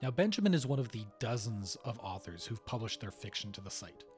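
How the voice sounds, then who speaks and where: A man's voice: fairly low pitch, big pitch swings, fairly quick, faint music behind. A man hosting a podcast, reading scripted narration. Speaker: podcast host.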